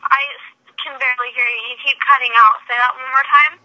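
A woman talks casually over a telephone. Her voice is fairly high and extremely sharp and bright. She is blunt and businesslike in how she answers. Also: fairly even intonation, brisk. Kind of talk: casual phone conversation. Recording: phone call audio.

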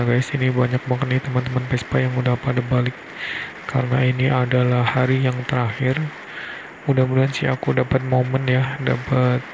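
A man's voice: mid-range voice, vocal fry. A young man, chatting casually as a motovlog host while riding, dropping "guys" and little hesitations. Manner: chatty, keeps tagging "guys", hesitant fillers. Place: on a scooter on a rural road outdoors. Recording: wind and road noise.

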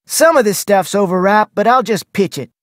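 An actor plays a folksy Western ranch cook for a mystery game. The delivery swings up and down in pitch, full of homespun phrasing, on a dry studio recording. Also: lively intonation, moderate pace, dry recording, natural voice. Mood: brisk, bossy. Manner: folksy, colloquial Western talk. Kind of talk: video game character voice lines.

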